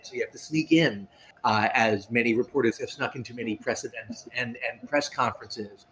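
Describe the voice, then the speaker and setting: A middle-aged man talking casually on a panel, often halting and repeating his words. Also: medium pitch, fairly bright tone. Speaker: panelist, tech reporter and game designer. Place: indoor room at home. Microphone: video call. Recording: noisy home video-call audio.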